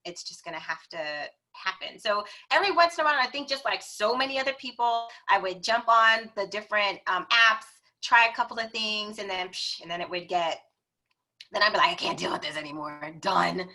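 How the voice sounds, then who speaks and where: A middle-aged woman, a podcast guest, telling her personal story in a chatty, expressive way, acting out her own reactions. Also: medium pitch.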